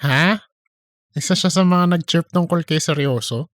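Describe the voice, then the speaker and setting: A man's voice: on the high side, moderate pace, no echo, studio quality, close to an everyday voice. A man voicing an incredulous, easily amused office buddy with blunt slangy reactions in a comic audiobook. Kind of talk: scripted comic audiobook dialogue.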